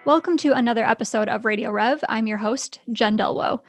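A woman's voice: fairly high pitch, fairly quick, music in the background. A woman hosting an interview podcast, friendly and affirming with her guest. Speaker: podcast host.

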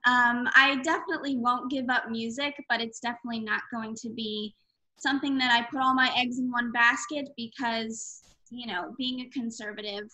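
A woman's voice: a little high, steady tone, moderate pace, bright, forceful tone, low-quality recording. A woman in her twenties, a singer-songwriter guest, chatting eagerly with fillers and restarts. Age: 20s. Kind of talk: casual interview.

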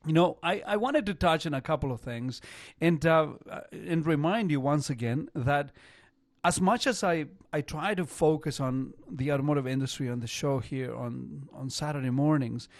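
A man in his fifties hosting a radio talk show, English not his first language, talking in an animated way and often repeating words as he starts a sentence. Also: a little high, moderate pace, dry recording, clean studio sound. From not Canada.

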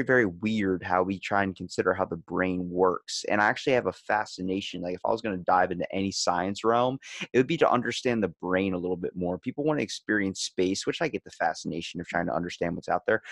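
A man's voice: low-pitched, fast talker, fairly bright tone, dry recording. A man in a casual podcast chat, rambling as host and animatedly telling stories, acting out the lines he quotes.

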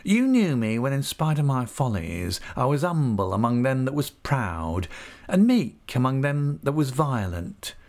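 A man's voice: medium pitch, very animated, measured pace, pitched above the performer's own voice. A man reading an audiobook, voicing a falsely humble, scheming young male clerk with an oily, cringing manner. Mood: smugly forgiving. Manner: oily, cringing humility with a whining edge. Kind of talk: audiobook character voice.

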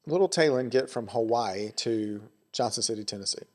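A man's voice: mid-range voice, moderate pace. Easygoing man hosting a podcast. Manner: jokey, easygoing questioner. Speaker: podcast host. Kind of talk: casual interview chat.

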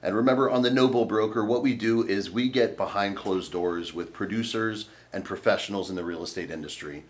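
A man with a very low voice hosts a podcast interview. He sounds upbeat and playful and often restarts his questions as he chats. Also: brisk. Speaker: podcast host. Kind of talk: casual interview chat.

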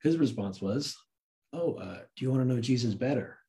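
Mellow American man telling a story. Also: mid-range voice, moderate pace. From United States, grew up in Santa Cruz, California. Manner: informal, animated retelling with acted-out quotes. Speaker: interview guest, works in film. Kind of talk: casual personal storytelling.

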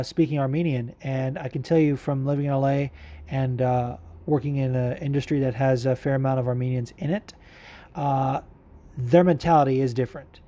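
A man hosts a casual podcast, talking loosely and bluntly with plenty of swearing and filler words. His voice sits a touch higher than most men's. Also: a little mellow. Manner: candid, profane, rambling with fillers. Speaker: podcast host. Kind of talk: casual MMA commentary, answering listener questions.

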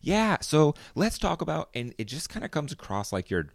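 A man's voice: on the high side, sing-song, moderate pace, gravelly, dry recording, studio quality. A young man hosting his own podcast, talking alone in a casual, rambling way with lots of fillers. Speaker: podcast host. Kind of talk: solo podcast monologue.

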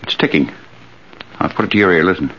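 Jittery haunted man in vintage radio drama, low voice with wide swings, blustering, stammering. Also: animated, fast talker. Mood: curious, wary. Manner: stammering, agitated. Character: nervous, haunted, blustering man afraid for his life. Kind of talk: 1940s radio acting. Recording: noisy, muffled old radio recording.

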